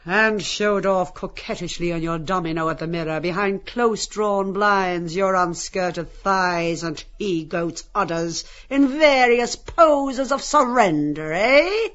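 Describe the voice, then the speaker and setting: Casting: woman to play cruel, commanding brothel tyrant for audio drama. Unhurried, gloating delivery, slightly muffled recording. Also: mid-range voice, fairly bright tone. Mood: jeering, amused. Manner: commanding, jeering, theatrical. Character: domineering, sadistic brothel madam turned masterful tyrant.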